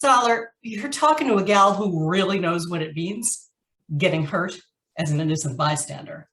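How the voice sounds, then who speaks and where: A woman's voice: lowish voice, moderate pace, fairly bright tone, lightly acted. Heard from a distance in an echoey room, a woman acts a hard, vengeful female ex-convict from a radio noir. She talks with sardonic menace, as someone bent on settling scores. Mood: menacing, bitter. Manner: tough, sardonic, threatening. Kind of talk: old-time radio noir acting. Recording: roomy echo, distant from the microphone.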